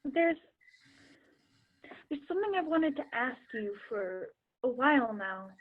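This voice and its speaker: A woman's voice: sits high, lively intonation, measured pace. A young woman acting in a play as an awkward, evasive teenage girl with a hesitant, defensive way of talking. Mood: nervous, awkward. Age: young adult. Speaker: student actor in a school play. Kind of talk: one-act play acting. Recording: tinny phone-like line.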